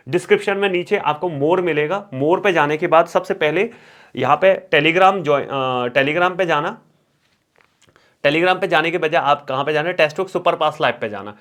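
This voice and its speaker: Guy coaching students for exams, kinda high voice, repeats stuff to drive it home, drops english words in. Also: a bit bright. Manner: instructional, repeats key words for emphasis, mixes English terms into Hindi. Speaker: exam coaching teacher. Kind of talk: exam strategy lesson with course promotion.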